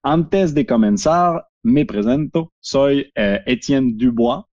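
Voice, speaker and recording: A French Canadian man speaking Spanish as a second language, with a Québécois French accent, presenting a software webinar in a halting way with many fillers. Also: medium pitch, expressive, no room sound, lo-fi sound. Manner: halting, frequent "eh" fillers and restarts. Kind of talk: product demo webinar.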